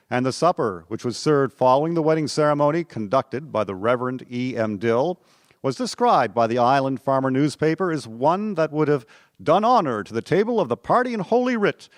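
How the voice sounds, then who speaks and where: A man's voice: medium pitch, no echo, clean studio sound. A man narrating scripted local history for a radio drama.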